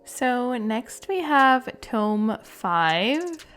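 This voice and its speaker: A woman's voice: fairly high pitch, sing-song, extremely slow, fairly bright tone, soft background music. A woman giving casual, informal reaction commentary as a gaming content creator, thinking aloud as she goes. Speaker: video game content creator.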